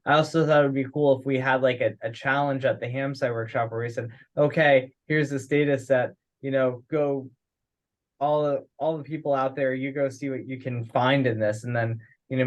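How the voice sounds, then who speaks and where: A man talking casually and haltingly in a teleconference, thinking aloud as he discusses things. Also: medium pitch, fairly even intonation. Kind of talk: casual conference call discussion. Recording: dull, lo-fi teleconference audio.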